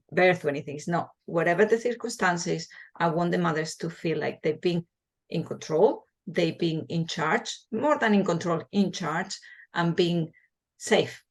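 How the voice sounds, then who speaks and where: Earnest Spanish-accented woman midwife. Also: lowish voice. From Spain. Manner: earnest, passionate, with restarts and repeated words. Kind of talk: interview answer, casual.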